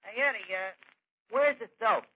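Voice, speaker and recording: An actor playing a wisecracking, blustering New York tavern manager in an old-time radio comedy, with slangy, hesitant patter. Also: male voice, high-pitched, normal speed, slightly rough, faint music behind. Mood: self-important. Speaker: comedy show lead. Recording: dull, noisy old radio recording.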